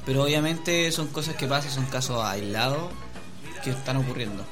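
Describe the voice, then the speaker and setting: Chilean male guest, mid-pitched voice, relaxed conversational interview style, music playing behind. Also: normal speed. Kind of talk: casual chat.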